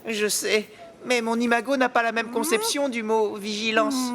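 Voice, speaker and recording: A performer voicing a cheerful, curious young child hero in a French animated series, eager and expressive, full of exclamations. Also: medium pitch, moderate pace, a crowd in the background, natural voice. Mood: friendly.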